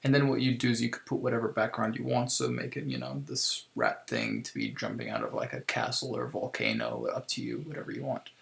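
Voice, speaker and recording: A man giving a casual software tutorial step by step, thinking aloud informally as he works, in a creaky, gravelly voice. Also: mid-range voice, expressive, fairly quick, few pauses, a little hiss or hum. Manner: informal, improvised, thinking aloud while working. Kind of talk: casual screen-recorded tutorial, explaining step by step.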